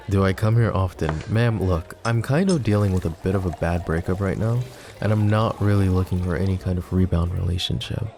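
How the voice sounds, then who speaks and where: A male voice actor playing a lovesick, brooding young mafia boss in an ASMR roleplay. He speaks softly and intimately, sometimes dropping to a whisper, with wry, self-mocking humor. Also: sits low, moderate pace, creaky, background noise. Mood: wry, rueful. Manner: soft, intimate, drops to whispers.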